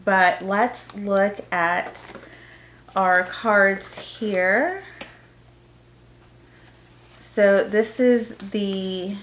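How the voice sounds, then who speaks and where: A woman's voice: mid-range voice, drawn-out words, fairly bright tone, a little hiss or hum. A woman vlogger giving an informal, explanatory unboxing, chatting casually and reading printed bits aloud as she goes. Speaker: homeschooling parent vlogger.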